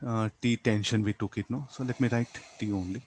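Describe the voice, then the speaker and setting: A man's voice: fairly low pitch, steady tone, brisk, darkish tone, slight background noise. A man with an Indian English accent lecturing as a physics teacher, explaining step by step and often posing questions that he answers himself. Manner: didactic, asks and answers own questions, tags statements with "no?". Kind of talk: classroom physics lecture, working through a problem.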